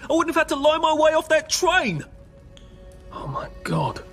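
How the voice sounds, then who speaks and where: A man's voice: extremely high pitch, sing-song, moderate pace, fairly bright tone, full character voice. An anxious British everyman from a video game, sarcastic and wordy. His hesitant, incredulous delivery rises and falls widely over a music bed. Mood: stunned realisation. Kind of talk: video game cutscene acting.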